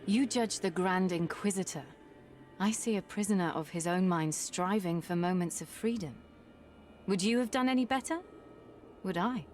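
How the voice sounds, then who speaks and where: A woman's voice: lowish voice, normal speed, a little mellow, lightly acted. An actress voicing a pragmatic, hardened warrior woman in a fantasy video game, serious and direct. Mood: earnest. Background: game music.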